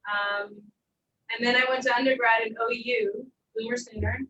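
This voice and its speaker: A woman in her forties from Southern California, co-hosting a live online workshop in animated, exclamatory casual chat. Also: fairly high pitch, dull audio, over music. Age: 40s. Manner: animated, exclamatory, talks in quick asides. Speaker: workshop co-host. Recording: roomy echo, distant from the mic.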